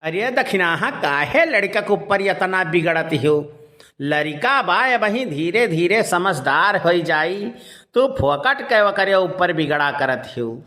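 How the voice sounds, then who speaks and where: A man's voice: high voice, animated, takes it slowly, bright, forceful tone, full character voice. A man playing a folksy, rambling village uncle in a cartoon, with an Awadhi accent. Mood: chiding. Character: folksy village uncle telling tall anecdotes. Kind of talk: cartoon storytelling in rustic dialect.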